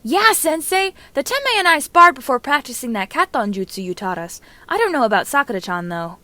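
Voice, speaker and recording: A woman's voice: sits high, very animated, pushed and bright, no room sound, pitched above the performer's own voice, exaggerated voice. A woman voicing an indignant, dramatic young ninja boy who whines and drags out his vowels in a story reading. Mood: eager. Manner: drawn-out vowels, exclamatory.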